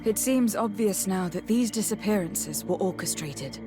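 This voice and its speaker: A composed, diplomatic noblewoman from a fantasy game, played by a woman, with a mid-range voice. Her delivery is measured and formal, and music plays clearly behind her. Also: medium pitch, animated, natural voice. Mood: thoughtful, grave. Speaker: game character voice actor. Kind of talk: measured video game acting.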